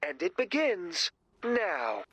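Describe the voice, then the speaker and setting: A man's voice: high voice, big pitch swings, takes it slowly, fairly bright tone, slight background noise, heavily acted. A man playing a cocky, self-promoting rock drummer in a video game, presenting like a grandiose TV host with dramatic emphasis. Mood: showy, upbeat. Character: cocky, self-promoting male rock drummer hosting his own show.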